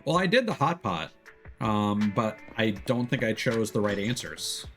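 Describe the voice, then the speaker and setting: A man's voice: lowish voice, sing-song, a little slow, a bit bright. A man giving casual live commentary while playing a video game, musing aloud in a conversational way. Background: music behind the voice.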